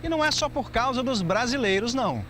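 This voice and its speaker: A man's voice: extremely high pitch, animated, fairly quick. A man with a Brazilian accent delivering a scripted television news report.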